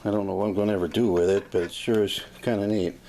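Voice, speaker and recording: An American man with a fairly low voice shows off a gadget in a casual, unscripted way. He is folksy and plain-spoken, often halting as he finds his words. Clear noise runs through the amateur recording. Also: fairly even intonation, mellow. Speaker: hobbyist demonstrating a vintage gadget.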